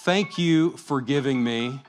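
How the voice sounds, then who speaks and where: A man's voice: medium pitch, takes it slowly, soft background music. A man addressing a church congregation in a conversational way, with frequent fillers. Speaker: church speaker.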